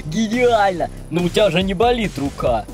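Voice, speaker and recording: A man voicing an excitable little rascal creature, the sidekick in a children's cartoon, with exclamatory delivery. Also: high-pitched. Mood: gleeful.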